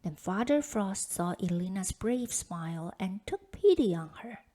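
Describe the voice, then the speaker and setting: Mellow woman reading a children's folktale. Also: medium pitch, lively intonation, measured pace. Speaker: storybook reader. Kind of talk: expressive scripted read-aloud of a children's folktale.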